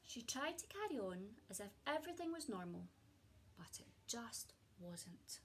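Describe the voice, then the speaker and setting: A woman reading a children's picture book aloud in an expressive storytelling way, as a teacher speaking to young listeners. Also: on the high side, moderate pace, a little hiss or hum.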